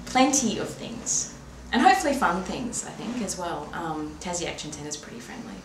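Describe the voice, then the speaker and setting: A low-pitched Australian woman gives casual, easygoing interview answers. She is a little away from the mic in an echoey room with faint noise. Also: sing-song, normal speed, far from the mic.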